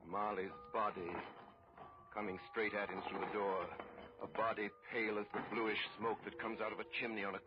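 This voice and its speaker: Low-voiced man narrating old radio drama. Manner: measured, grave storytelling. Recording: muffled old radio broadcast, faint music.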